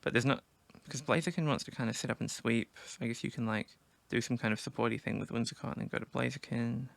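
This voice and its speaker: A man going hoarse from a sore throat, giving casual commentary on his team draft and thinking aloud with many restarts. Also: mid-range voice, fairly even intonation, fast, no room sound. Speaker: gaming video creator.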